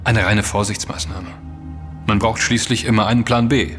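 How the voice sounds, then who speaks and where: An actor playing a self-assured, intense investigator in a German audio drama, speaking emphatically and accusingly, with dramatic delivery. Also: male voice, on the low side, fairly even intonation, moderate pace, close to an everyday voice. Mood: confident. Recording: long reverb with music underneath.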